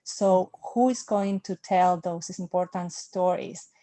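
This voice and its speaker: A woman moderating an online panel, asking long, measured questions over a video call. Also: on the low side, dark tone.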